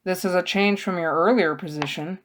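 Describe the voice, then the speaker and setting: A woman's voice: on the low side, normal speed, natural voice. A woman voicing a wry, sardonic young male thief in a storybook, with a dry, sarcastic manner. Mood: stubborn, dry.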